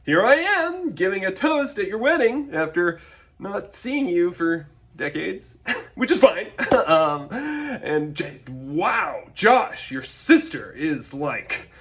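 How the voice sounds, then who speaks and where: A man playing a tactless, awkward best man in a comic wedding toast, halting and trailing off. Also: high-pitched, big pitch swings, fairly bright tone, slight background noise, heavily acted. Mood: uncomfortable, fake cheer.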